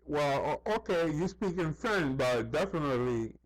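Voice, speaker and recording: A man's voice: on the high side, animated, moderate pace, rough recording. A man talking in a casual, rambling monologue, repeating himself, saying "you know" often and acting out other people's remarks as he tells anecdotes. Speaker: vlogger.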